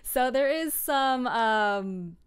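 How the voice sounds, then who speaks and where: A woman's voice: fairly high pitch, animated, drawn-out words, clean tone. A young adult woman chatting casually and informally as she explains her work process, with a giggly manner. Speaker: streamer and audio drama producer.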